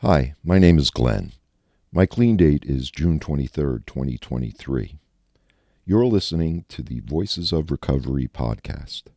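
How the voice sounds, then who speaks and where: Man, deep gravelly voice, earnest meditation reader, dry booth sound. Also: lively intonation, unhurried, warm tone, no room sound. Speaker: recovering addict reading a daily meditation. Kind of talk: reading a recovery meditation aloud.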